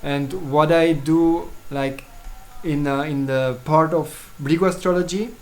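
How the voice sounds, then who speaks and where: A man from Switzerland whose first language is German, speaking English in a casual solo video about his astrology services, halting often with fillers and restarts. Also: fairly high pitch, fairly even intonation.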